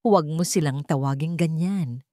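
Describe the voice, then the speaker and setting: A woman's voice: low-pitched, normal speed, dark tone, no echo, clean studio sound, close to an everyday voice. A woman voicing a determined, defiant billionaire son and father in a story, firm and insistent.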